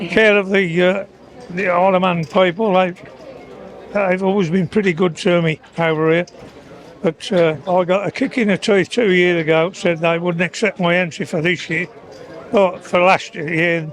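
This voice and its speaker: An older man with a croaky, high-pitched voice talks in a loose, rambling way, doubling back over his words. He chats casually as a retired rider being interviewed. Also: fairly even intonation, normal speed, poor audio. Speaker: retired motorcycle racer. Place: marquee at an outdoor bike event.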